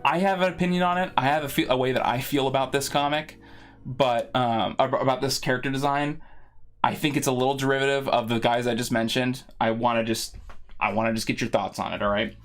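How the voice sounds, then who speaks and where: A man's voice: mid-range voice, fairly even intonation, a little fast. A man hosting a live drawing stream, chatting casually and informally as he rambles and thinks aloud. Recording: music playing behind the voice. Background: background music.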